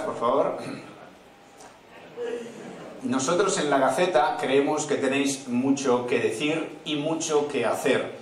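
A man hosting a political rally, reading out messages and introducing speakers with quick joking asides. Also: medium pitch. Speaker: event host. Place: large indoor event hall. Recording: echoey hall, far from mic. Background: audience laughter.

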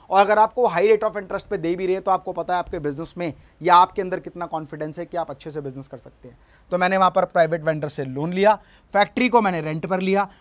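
A middle-aged man giving a motivational business lesson in a studio, speaking emphatically and often asking his audience to agree. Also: high voice. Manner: emphatic, gestures at a screen, asks "है ना?". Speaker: business coach. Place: studio in front of a large screen.

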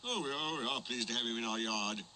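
A man voicing a group of vain, gullible engines murmuring flattered praise. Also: mid-range voice, fast, slight background noise.

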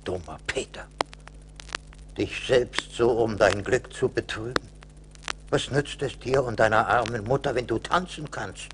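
An actor playing a stern, wise little forest spirit in a radio play, admonishing in a deliberate manner. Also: male voice, medium pitch, lightly acted. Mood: reproachful. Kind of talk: 1980s German radio play acting. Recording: noisy vintage LP radio play.

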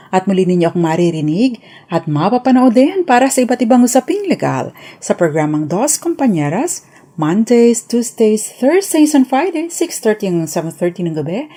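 A woman's voice: fairly high pitch, mellow. A woman with a Filipino accent giving formal, polite legal explanations as an attorney on a radio segment.

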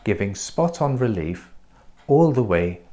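A man in his forties presenting a clinic's treatment in a measured, explanatory scripted voice, recorded on a lapel mic in a bright treatment room. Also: fairly low pitch, very animated. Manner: measured, explanatory, pointing things out.

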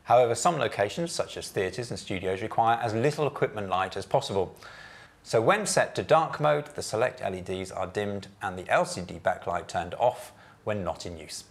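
A man's voice: lowish voice, lively intonation, creaky. A man in his thirties giving a methodical, scripted walkthrough of a product's menu settings, recorded in an office meeting room on a lapel mic. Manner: measured, methodical, technical explanations. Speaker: product presenter.

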